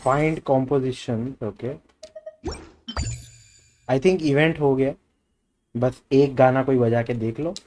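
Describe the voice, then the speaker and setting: A man's voice: mid-range voice, fairly even intonation, rapid-fire, pauses often, darkish tone, slight background noise. A man with an Indian accent giving casual gameplay commentary as a streamer, switching between Hindi and English, with game music behind him.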